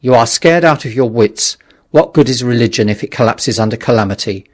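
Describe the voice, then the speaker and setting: A man's voice: mid-range voice, a little fast, natural voice. A man voicing a sober, steadfast survivor in an audiobook story, firm and matter-of-fact as he exhorts. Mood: stern.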